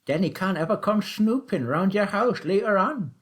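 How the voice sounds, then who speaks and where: A gleeful old man behind the wheel of a taxi, his voice jumping high and loud with excitement, put on by a male narrator. He chatters in a rustic, chortling way, his pitch swooping all over the place. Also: normal speed. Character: old man, cheerful chuckling taxi driver.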